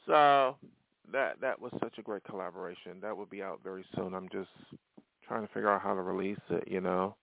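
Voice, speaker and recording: A man's voice: low-pitched, steady tone, brisk, vocal fry, no echo. A man hosting his own podcast as a musician, rambling casually with many fillers and restarts. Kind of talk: casual solo podcast talk. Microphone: phone or voice-message recording. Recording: dry, phone-like lo-fi sound.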